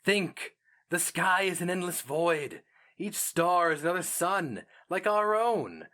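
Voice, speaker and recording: A man acts out a proud mage from a fantasy audiobook. He argues with urgent, emphatic conviction, his voice lifted well above a low narrating register and swelling on rhetorical questions. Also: expressive, slow pace, sharp tone, exaggerated voice. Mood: impassioned, persuasive. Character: impassioned, proud male mage arguing heresy, urgent.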